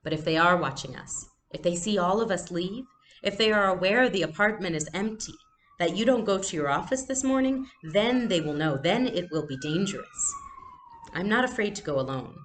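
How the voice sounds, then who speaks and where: A woman voicing a brave, calmly resolute storybook mother who reasons firmly. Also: lowish voice, normal speed, slight background noise, voice pushed up.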